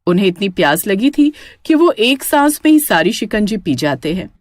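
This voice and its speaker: A woman's voice: mid-range voice, sing-song, moderate pace, dry recording, studio quality. A woman narrating a scripted children's horror story as a storyteller.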